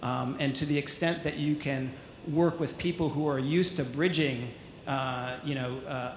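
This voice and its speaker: A man speaking as a panelist at a conference, measured and even, with frequent ums. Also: medium pitch, slight background noise. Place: conference hall. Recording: echoey hall with faint ambience.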